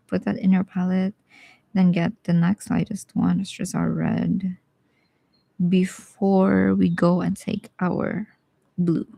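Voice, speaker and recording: A young woman with a Bisaya Filipino accent who teaches watercolor live, talking casually and thinking aloud as she paints, with some quiet asides. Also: mid-range voice, gravelly, no echo. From Philippines. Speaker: watercolor artist streaming live. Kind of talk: live painting tutorial with chat replies.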